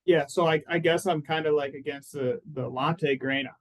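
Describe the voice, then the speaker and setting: A man in his thirties from Phoenix, a lawyer guest talking in a relaxed, chatty way, full of "like" and "I mean". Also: a little high, moderate pace. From Phoenix, Arizona. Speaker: personal injury lawyer, guest. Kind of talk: casual interview answers.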